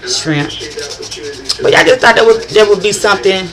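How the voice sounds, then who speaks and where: A woman's voice: mid-range voice, animated, moderate pace, music in the background. A middle-aged woman from northern Louisiana with a country accent, rambling in a chatty vlog monologue and often restarting her sentences. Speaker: vlogger.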